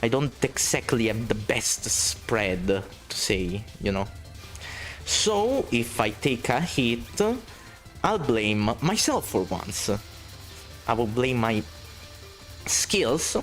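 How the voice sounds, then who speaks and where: Excitable Italian-accented male game streamer. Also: medium pitch, slow pace, faint music behind. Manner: excitable, swearing, reading chat aloud. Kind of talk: casual gameplay commentary.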